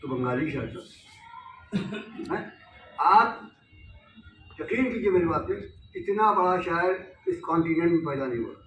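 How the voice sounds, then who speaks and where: A man's voice: fairly high pitch, expressive, a little slow, pauses often, a bit bright, far from the mic, muffled recording, faint music behind. Impassioned man speaking in an echoey hall. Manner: rhetorical, repeatedly asking the audience "हैं?". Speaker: newspaper editor speaking at a seminar. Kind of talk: impassioned seminar speech. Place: seminar hall. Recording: echoey hall recorded from afar.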